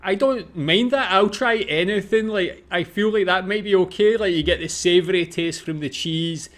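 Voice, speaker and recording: A man's voice: high voice, normal speed, a bit bright. A man with a Scottish accent giving casual, musing off-the-cuff commentary as host of a reaction video. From Scotland.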